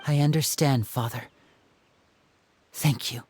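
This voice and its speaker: A man's voice: medium pitch, steady tone, takes it slowly, darkish tone, lightly acted. An actor playing an earnest, self-doubting young man, loyal to his family, speaking humbly and deferentially in a dramatic video game style. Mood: resolute, grateful. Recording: music under the voice. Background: background music.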